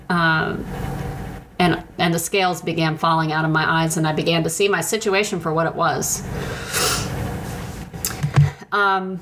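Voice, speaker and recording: Slow, halting woman sharing her story. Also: lowish voice, a bit bright, rough recording. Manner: slow, halting, with many pauses and restarts. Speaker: speaker sharing a personal testimony. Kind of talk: reflective personal testimony. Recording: noticeable background noise.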